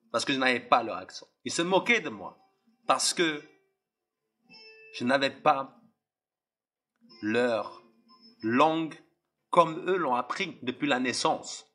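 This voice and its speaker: Impassioned young man preaching, husky and tense. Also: mid-range voice, sharp tone. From Algeria. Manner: impassioned, repetitive, addresses listeners directly. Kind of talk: preaching testimony.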